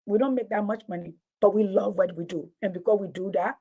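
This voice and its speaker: A woman's voice: mid-range voice, fairly even intonation, quick pace, mellow, breathy edge. A woman with a Liberian accent, a teacher and former radio host, giving an emphatic, passionate talk that often repeats words for stress. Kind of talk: impassioned public talk.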